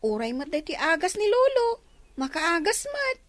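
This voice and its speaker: A woman's voice: very high voice, very animated, normal speed, no echo. A woman with an Ilocano accent playing a chatty, child-like villager in a radio comedy drama.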